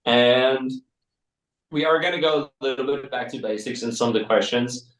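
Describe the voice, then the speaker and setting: A man co-hosting a coffee podcast, talking in a measured way with fillers. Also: medium pitch, fairly bright tone. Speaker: podcast co-host. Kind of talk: casual interview talk. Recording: echoey room, from a distance.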